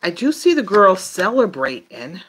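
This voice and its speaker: A woman doing a casual, improvised tarot reading for viewers, thinking aloud in a halting way and trailing off mid-phrase. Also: on the low side, sing-song, slight background noise, low-quality recording. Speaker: tarot reader.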